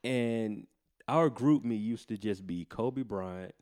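A man's voice: lowish voice, takes it slowly, darkish tone. An American man hosting a podcast in casual, slangy conversation, agreeable and often echoing what he hears. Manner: slangy, agreeable, echoes the other speaker. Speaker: podcast host.

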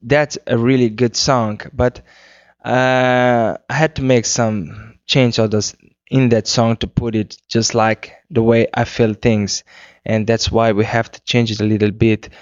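A man from Cape Verde, whose first language is Creole, answers interview questions in careful, halting English. His voice sits at an ordinary pitch. The recording is close and dry, with a somewhat dull, muffled tone. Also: flat delivery, no echo. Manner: searching for words, frequent restarts. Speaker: singer-songwriter guest.

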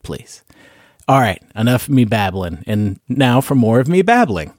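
A man's voice: medium pitch, sing-song, moderate pace, no room sound, clean studio sound. A man from Canada with a slight Canadian accent, hosting a casual comedy podcast and rambling with self-mocking jokes. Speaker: podcast host.

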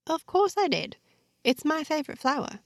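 A guarded, easily irked heroine of a fantasy tale, acted by a woman narrator. She prods and pushes with very animated pitch, slightly creaky, in a dry close sound. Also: moderate pace, no echo. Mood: defensive. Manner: prodding, easily annoyed. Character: guarded, indignant woman, daughter of a powerful father.

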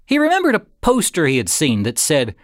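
A man narrating a story in a deliberate, dramatic way, with expressive phrasing and suspenseful pauses. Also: fairly high pitch, no room sound, studio quality. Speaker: audiobook narrator.

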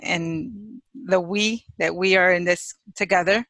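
Woman from Chicago, bright tense voice, dry close sound, laughs often, pauses with fillers. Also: lowish voice, fairly even intonation, no room sound. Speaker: guest, clinical psychologist and sex therapist. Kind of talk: casual interview chat. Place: home office in Hawaii.

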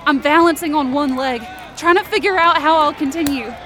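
A woman acts out a determined, desperate young female rescuer in a supernatural fiction podcast. Her voice sits somewhat high. She narrates in the present tense with intense, emotive urgency. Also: brisk, bright, forceful tone, a faint crowd. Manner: intense, emotive, swearing under strain. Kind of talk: dramatic first-person present-tense audio drama narration.

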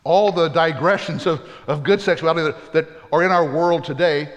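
A pastor preaching in a high voice, earnest and plainspoken, with folksy humorous stories. His voice rings with a long reverb, as if in a church sanctuary. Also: normal speed. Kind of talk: sermon.

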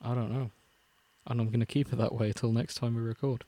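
A man, co-hosting a casual podcast, reading fanfiction aloud in a deadpan way and laughing often. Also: medium pitch, fairly quick.

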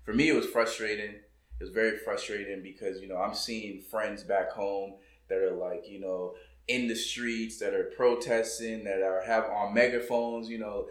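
A man's voice: lowish voice, fairly even intonation, normal speed. Reflective man in a roomy space. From Boston, United States. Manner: loose and reflective, many "you know" and "like" fillers. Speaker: podcast guest. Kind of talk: casual podcast discussion. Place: coworking room.

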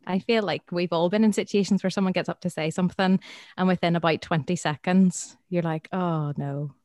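A woman's voice: fairly low pitch, steady tone, a little fast, darkish tone, no room sound, clean studio sound. A woman with a Northern Irish accent, a schools worker guest, giving practical tips in a friendly podcast chat with many ums.

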